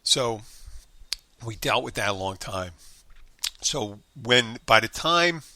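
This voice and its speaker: A man's voice: mid-range voice, expressive, takes it slowly, sharp tone, no room sound. Rambling male podcast host, close and dry. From United States. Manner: rambling, with frequent restarts and fillers. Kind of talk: solo podcast monologue.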